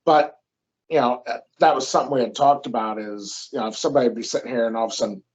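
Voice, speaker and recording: A man's voice: mid-range voice, quick pace, breathy edge. A young man, a new father, talking casually and reflectively on a podcast, rambling with lots of fillers. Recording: dull lo-fi sound.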